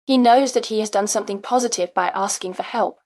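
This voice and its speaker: A woman presents scripted question-and-answer narration for language learners. Her pitch is average and the sound is dry and free of room echo. She reads in a measured, articulate manner. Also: a little mellow.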